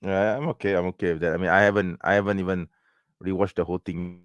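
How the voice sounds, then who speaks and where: Deep-voiced Malaysian man, casual host. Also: flat delivery, quick pace, smooth, no room sound, muffled recording. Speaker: live stream host. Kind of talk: casual chat.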